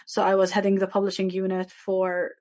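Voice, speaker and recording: Woman, 30s, Arabic native from Jerusalem; earnest, reflective panelist, mid-pitched, dry close sound. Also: monotone, fairly quick, a little mellow, dry recording. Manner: earnest, reflective, with many fillers and restarts. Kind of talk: panel talk.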